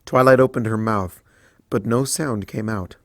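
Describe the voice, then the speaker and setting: A man's voice: fairly low pitch, unhurried, no echo. Man reading a fanfic aloud, dry close mic. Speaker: narrator.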